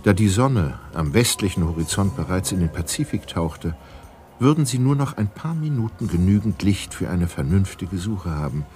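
An actor narrating a detective mystery as a calm storyteller in a scripted German audio drama. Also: male voice, sits low, normal speed. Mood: calm suspense. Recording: music clearly behind the voice.

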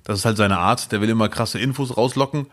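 A man co-hosting a podcast in casual chat, lively and jokey, swinging between loud outbursts and low mutters. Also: fast. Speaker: podcast co-host. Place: near Duisburg.

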